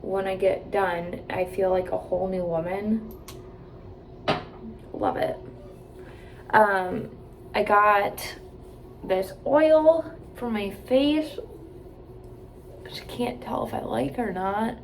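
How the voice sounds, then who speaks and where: A woman's voice: mid-range voice, slow, long pauses, a little hiss or hum, poor audio. A woman in her late twenties chatting casually to camera from a hotel room, rambling with lots of "like" and "um". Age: 20s. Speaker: vlogger.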